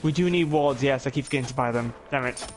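A man's voice: medium pitch, fast talker. A man chatting casually over a game with friends, with playful trash talk. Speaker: player in voice chat. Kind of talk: casual gaming chat.

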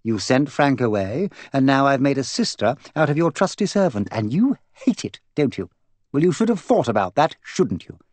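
An imperious, opinionated English woman holds forth in an audiobook, acted out by a male narrator. The pitch is lifted and swings widely as this voluble gossip emphasises points. The sound is dry. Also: sing-song, moderate pace, a little mellow, dry recording. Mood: opinionated, emphatic. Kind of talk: audiobook character dialogue.

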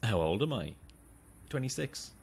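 An actor playing an eager, chummy, subtly creepy young fan in a radio drama, with an upbeat, coaxing manner. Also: male voice, medium pitch, sing-song, a little slow, gravelly, darkish tone, husky, heavily acted. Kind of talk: naturalistic radio drama acting.

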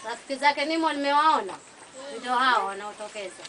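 Woman translating for little kids, quick high voice, keeps checking they get it, really noisy hall. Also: animated, bright, forceful tone. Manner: checks understanding with short tag questions. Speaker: Swahili interpreter for the children. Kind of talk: live interpreting to young children, conversational. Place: open-sided thatched hall with children. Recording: very noisy hall, recorded from a distance.

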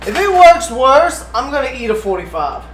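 A man's voice: extremely high pitch, very animated, normal speed, a bit bright. A man hosts a casual cooking stream, talking through each step and swearing jokily. He sounds roomy and a little distant from the mic, with faint noise around him. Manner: profane, jokey, talks through steps. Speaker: livestream cooking host. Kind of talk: casual cooking livestream commentary. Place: indoors in a home kitchen. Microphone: distant room mic.

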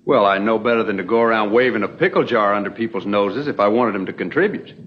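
A young actor playing a polite, smooth-talking stranger in an Old West TV drama, on a muffled vintage soundtrack. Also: male voice, lowish voice, quick pace, lightly acted. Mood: self-assured. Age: young adult.

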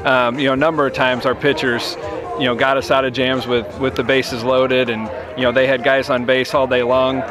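Coach talking after the game outdoors. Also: male voice, mid-range voice, flat delivery, crowd noise. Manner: measured, many you-knows and restarts. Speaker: head baseball coach. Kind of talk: postgame interview. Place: outdoors on a ballfield at night.

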